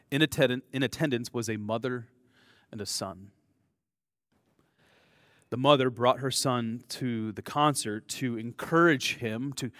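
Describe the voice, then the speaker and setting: Casual American preacher with a dry sound. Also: male voice, medium pitch, a bit bright, dry recording. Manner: conversational, self-deprecating, frequent fillers and restarts. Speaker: pastor. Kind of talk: sermon.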